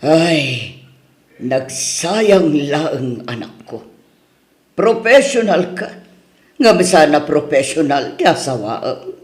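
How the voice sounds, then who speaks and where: A woman's voice: low voice, a little mellow. A woman playing a protective, reluctant older mother in an Ilocano radio drama, with an Ilocano accent. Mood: pleading, disapproving. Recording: roomy echo.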